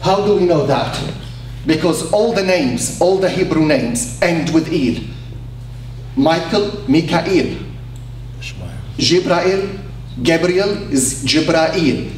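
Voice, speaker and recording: A man's voice: fairly high pitch, lively intonation, slow pace. A man from Syria, a native Arabic speaker with a Syrian Arabic accent, explaining didactically on a panel. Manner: didactic, questions and answers himself. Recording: echoey hall, distant mic, faint hum.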